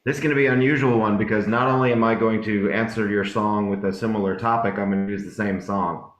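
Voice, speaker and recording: A man's voice: lowish voice, steady tone, quick pace. A man co-hosting a comedy show over a video call, joking and improvising as he goes. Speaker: co-host. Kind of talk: casual show banter. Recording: roomy mic with guitar.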